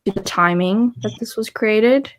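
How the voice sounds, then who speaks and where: A woman's voice: mid-range voice, unhurried, rough recording. A woman chatting casually as co-host of a livestream about books, on a video call. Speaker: co-host of a book readalong livestream. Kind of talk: casual chat.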